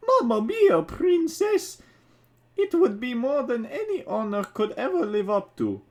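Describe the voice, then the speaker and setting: A man's voice: extremely high pitch, big pitch swings, a little slow, darkish tone, voice pushed up, full character voice. A man voicing a small, lovestruck plumber hero from a video game, gushing. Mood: overjoyed.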